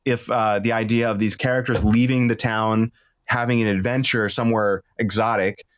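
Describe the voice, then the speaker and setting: A man speaking casually as a podcast guest, explaining ideas about storytelling in a thoughtful, halting way. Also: on the low side, fairly even intonation, no room sound. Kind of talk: casual discussion of drama and storytelling.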